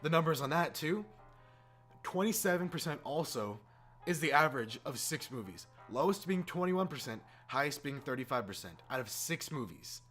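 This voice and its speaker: Animated man ranting over music. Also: a little high, moderate pace. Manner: exasperated, emphatic repetition of words; informal, casual swearing. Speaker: YouTube host. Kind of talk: casual opinion rant. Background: background music.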